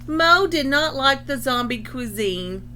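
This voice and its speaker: A woman with a bright, fairly high voice speaks as a school librarian to young children. Her delivery is instructional and explanatory, in the style of a picture-book read-aloud. A light background noise with a hum sits behind her. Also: lively intonation. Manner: explanatory, pausing to define words.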